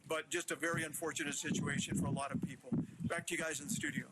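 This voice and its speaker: A man's voice: on the high side, level tone, quick pace. A man reporting live for TV news outdoors as he walks along a street, ad-libbing in a rambling, repetitive way over a noisy field feed.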